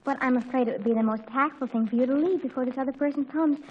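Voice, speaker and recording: A high-voiced, rapid teenage daughter acted by a woman from a 1940s radio comedy. She is dramatic and earnest, easily flustered, and tries hard to sound grown-up. The old recording is muffled. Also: slight background noise, close to an everyday voice. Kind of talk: 1940s radio sitcom acting.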